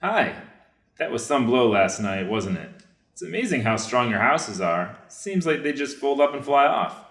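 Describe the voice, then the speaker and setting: A man's voice: medium pitch, very animated, moderate pace, a bit bright. A man playing a grateful, plain-spoken American prisoner of war in a staged reader's theater drama, informal and earnest. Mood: upbeat. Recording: roomy, echoing space.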